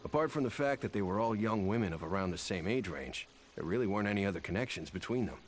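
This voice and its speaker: A man voicing a brisk, formal investigator in a game, speaking in a matter-of-fact way. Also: on the low side, animated, lightly acted. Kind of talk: game voice acting. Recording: game audio with music behind.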